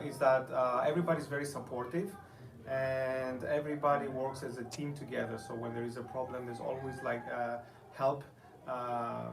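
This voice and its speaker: A man from Greece with a Greek accent gives an informal testimonial talk as a teacher, speaking haltingly with frequent pauses. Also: mid-range voice. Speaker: high school and middle school teacher. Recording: distant mic with clear background noise.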